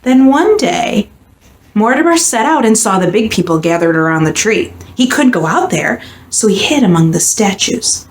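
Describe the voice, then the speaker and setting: A woman reading a children's picture book aloud with expressive, dramatic and deliberate storytelling. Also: medium pitch. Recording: echoey room, faint background noise.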